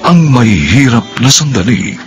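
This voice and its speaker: A man's voice: medium pitch, big pitch swings, unhurried. A man delivering a solemn, theatrical scripted introduction to a radio drama over music. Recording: loud music bed under the voice. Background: loud music.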